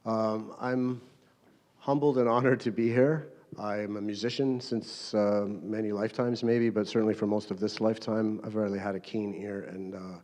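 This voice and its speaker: A man in his fifties speaks on a panel, his low voice dark and mellow. His pitch barely moves, and he picks his way through his words with frequent fillers and restarts. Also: fairly even intonation. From Canada. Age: 50s. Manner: halting, many fillers and restarts. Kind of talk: panel introduction.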